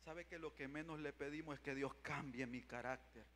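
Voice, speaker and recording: A man's voice: on the high side, moderate pace, a bit bright, slight background noise. A young man preaching a fervent revival sermon, pacing the stage with a handheld mic and calling out to his listeners again and again. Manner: impassioned, repeats "mi amado hermano", paces the stage. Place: large church sanctuary. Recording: long church reverb.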